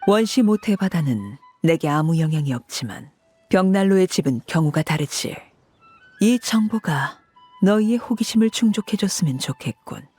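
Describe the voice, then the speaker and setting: A commanding, aloof woman from an anime game. Her voice is husky and she speaks with formal, measured composure. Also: on the low side, expressive, warm tone, no echo, faint music behind. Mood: cool, guarded. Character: cool, commanding woman, composed head of an orphanage family.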